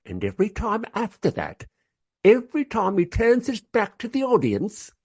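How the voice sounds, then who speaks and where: A man's voice: high-pitched, wildly swooping pitch, normal speed, darkish tone, breathy edge, heavily acted. An actor playing a rowdy, crude, drunk Regency Englishman with boisterous tipsy banter in a comic radio play. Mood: gleeful, scornful.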